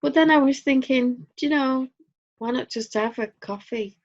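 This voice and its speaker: A woman's voice: high voice, normal speed. Chatty Northern English woman, dull audio. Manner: chatty, rambling, dry humor. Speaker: co-host. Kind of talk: casual chat.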